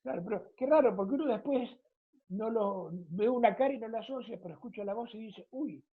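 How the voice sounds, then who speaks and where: Argentine female host, husky, mid-pitched, wordy conversational interviewer, narrow telephone-style sound. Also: a little fast. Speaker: interviewer, radio programme host. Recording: thin phone-like audio.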